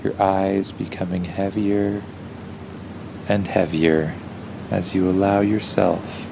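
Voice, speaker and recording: A man's voice: low voice, flat delivery, takes it slowly, vocal fry, background noise. A man telling an improvised bedtime story for kids in an informal, soothing way.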